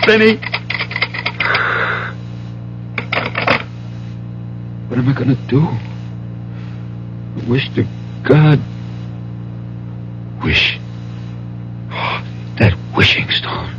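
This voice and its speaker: A man's voice: medium pitch, big pitch swings, slow pace, gravelly, long pauses, highs cut off, over loud music, exaggerated voice. Desperate gambler father, wildly swinging voice. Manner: stammering, pleading, emphatic. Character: desperate gambling-addicted father, pleading and bitter. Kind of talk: 1970s radio drama acting. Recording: noisy old radio broadcast with hum.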